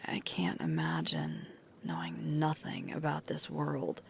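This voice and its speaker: A woman playing a sweet, tired alpha werewolf girlfriend in an intimate roleplay ASMR, murmuring softly and breathily close to the microphone. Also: low-pitched, sing-song, a little hiss or hum, exaggerated voice. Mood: soft, musing. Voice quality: breathy, creaky, often whispering. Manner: slow, soft, unhurried intimate murmur with pauses.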